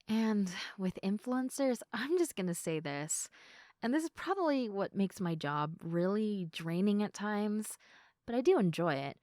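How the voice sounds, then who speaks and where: A woman playing a sleepy, affectionate girlfriend in an intimate ASMR roleplay, chatting softly. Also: on the low side, no room sound, studio quality. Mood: chatty, earnest.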